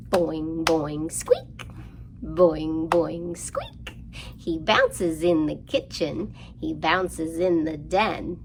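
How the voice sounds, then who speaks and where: A woman presenting a children's storytime, speaking in an animated, sing-song way and prompting young listeners through rhymes and counting. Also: lowish voice, creaky, a little hiss or hum.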